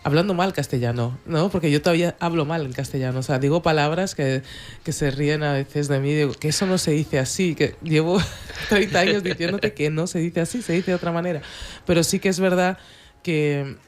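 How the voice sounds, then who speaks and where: Radio host guy, portuguese first language, kinda high voice, thoughtful. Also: brisk. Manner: reflective, with frequent back-channel agreement. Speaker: radio interviewer. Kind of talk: radio interview conversation.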